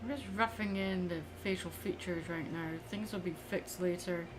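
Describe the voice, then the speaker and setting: A woman's voice: lowish voice, steady tone, normal speed. Woman musing over noisy livestream audio. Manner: musing, thinking aloud in fragments. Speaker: artist livestreaming a drawing session. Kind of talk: casual unscripted drawing commentary.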